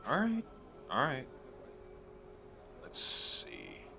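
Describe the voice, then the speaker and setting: A man playing an eager, chatty fantasy merchant in an ASMR roleplay, pitching his magical wares to the listener in a coaxing, conversational way. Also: very animated, drawn-out words, sharp tone, faint music behind, exaggerated voice. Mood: coaxing, reluctant. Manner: coaxing salesman, conversational, hesitant asides.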